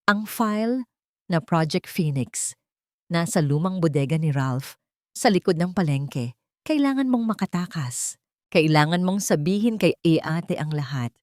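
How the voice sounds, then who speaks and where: A frightened young woman full of guilt , acted by a woman narrator. She pleads in a trembling, hushed way. It is audiobook drama, recorded dry and without room sound. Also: fairly low pitch, animated, a little slow, no room sound. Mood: nervous, urgent. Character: frightened, remorseful younger sister secretly helping a captive.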